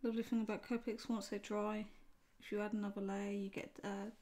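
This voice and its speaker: A woman casually talking through her drawing as she works, relaxed and thinking aloud at a home desk. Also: medium pitch, a little fast. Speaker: art tutorial host. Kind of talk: casual narration while drawing. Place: home desk, seen from above.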